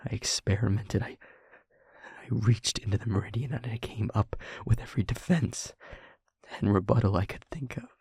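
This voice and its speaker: A man playing a guilt-ridden demon lover in an audio roleplay, confessing tenderly and in anguish in a halting, breathy, husky hush. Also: on the low side, dry recording, exaggerated voice. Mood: anguished, confessional. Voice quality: breathy, husky, often whispering. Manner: halting, hushed, dramatic pauses. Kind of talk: intimate audio roleplay monologue.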